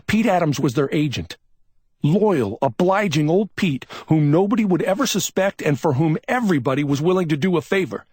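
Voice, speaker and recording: A man voicing a brusque, excitable male police officer who snaps out urgent reasoning. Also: on the high side, moderate pace, slightly rough, dry recording, clean studio sound, pitched above the performer's own voice. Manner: snapping, urgent, scolding.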